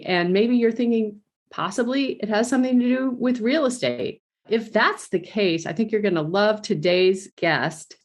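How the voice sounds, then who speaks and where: Eager woman hosting a podcast. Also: mid-range voice. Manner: enthusiastic, earnest interviewer. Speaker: podcast host. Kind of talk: podcast intro and interview.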